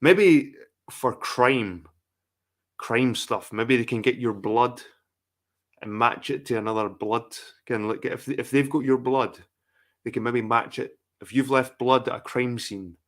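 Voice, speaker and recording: A man's voice: mid-range voice, unhurried. Rambling, profane middle-aged man. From England. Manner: profane, rambling, repeats himself. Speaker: livestream host. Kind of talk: casual ranting livestream chat.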